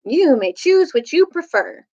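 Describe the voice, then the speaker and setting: A woman voicing a haughty, forceful duke in a children's storybook, imperious and giving orders. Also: high voice, sing-song, measured pace, highs cut off, voice pushed up. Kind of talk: children's storybook read-aloud.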